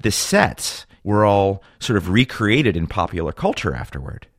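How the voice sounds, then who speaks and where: A man's voice: lowish voice, sing-song, moderate pace, no echo, clean studio sound. A man with an American accent working as a radio correspondent, putting questions to a guest in a broadcast interview.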